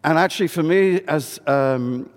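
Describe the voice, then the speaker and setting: A man's voice: a little high, unhurried. A middle-aged man from England giving a friendly, conversational address at a church service, with many fillers and restarts. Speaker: guest speaker, charity chief executive. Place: large old church building. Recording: reverberant hall.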